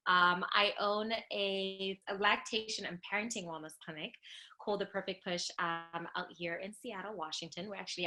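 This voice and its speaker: A woman's voice: mid-range voice, rough recording. A woman from Zimbabwe, a nurse practitioner and lactation consultant talking enthusiastically as a podcast guest. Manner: enthusiastic, animated, laughs often. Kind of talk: casual podcast interview.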